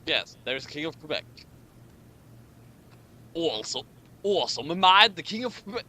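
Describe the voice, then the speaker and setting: A man's voice: fairly high pitch, big pitch swings, measured pace, pushed and bright. A man hosting a comic reading of fan fiction, with mocking commentary and stumbles over his lines.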